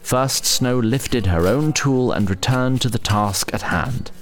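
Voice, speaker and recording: A man's voice: mid-range voice, slow. A man narrating a scripted fantasy story in a wry, literary manner.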